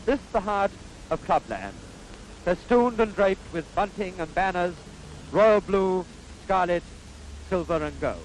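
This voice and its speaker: A man with a Received Pronunciation British accent giving formal, stately 1950s newsreel commentary in measured phrases, on a vintage soundtrack. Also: sits high, animated, slight background noise, low-quality recording.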